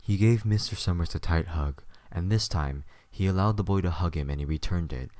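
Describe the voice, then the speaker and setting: A man's voice: sits low, a little fast, darkish tone, no room sound, studio quality. A man reading scripted third-person story narration as the narrator of an audio drama.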